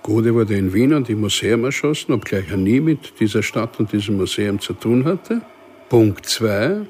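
An actor playing an unremarkable, quietly dangerous civil servant in a radio crime drama, speaking methodically with weighty pauses. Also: male voice, on the low side, lively intonation, a little hiss or hum, natural voice. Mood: dry, methodical. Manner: deliberate, enumerating, with weighty pauses.

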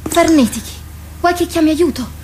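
A worried, bewildered woman speaks in a high voice in a radio drama. Clear noise sits behind her words. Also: normal speed. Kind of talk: Italian radio drama acting. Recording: clearly noisy background.

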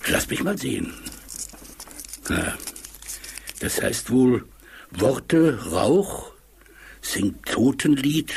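An older man playing a deliberate professor who deciphers secret messages in a 1980s audio drama, husky and gravelly. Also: medium pitch, very animated, darkish tone, slight background noise, full character voice. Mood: pondering.

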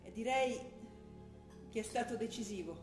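A woman's voice: on the high side, normal speed, a little mellow, close to an everyday voice. An actress playing a defiant young militant woman from who reads her letters and argues with her father. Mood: earnest. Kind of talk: stage play broadcast on radio. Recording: echoey stage recording with music.

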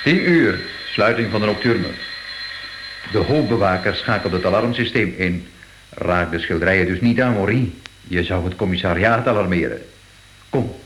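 An actor playing a courteous, practical gallery director in a radio drama, measured. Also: male voice, deep voice, background noise, lightly acted.

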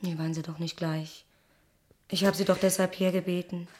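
A woman's voice: fairly low pitch, flat delivery, normal speed, darkish tone, slight background noise, natural voice. Actress as a probing, bureaucratic personnel woman. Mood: patient, firm. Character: official personnel interviewer woman, probing, condescendingly patient. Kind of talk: naturalistic German radio play acting.

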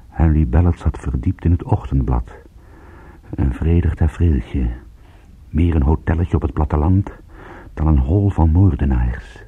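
An actor playing a weary, suspicious private detective in a radio drama, narrating and talking in a dry, wry, understated manner. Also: male voice, extremely low pitch, breathy edge, narrow, tinny audio, heavily acted. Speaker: detective and first-person narrator.

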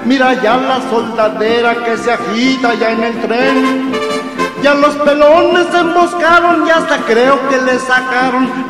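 A man sings a narrative ballad in a very high voice. The sound is drenched in long reverb, with loud instrumental music. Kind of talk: sung narrative ballad in Spanish.

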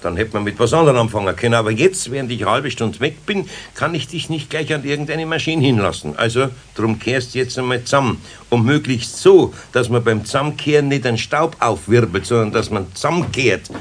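A man playing a patient, gruffly kind older carpenter with a husky voice and Bavarian accent, admonishing in a homely way in a radio play. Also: mid-range voice, lively intonation, a little fast, lightly acted. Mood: firm, dry. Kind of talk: 1970s German children's radio play acting.

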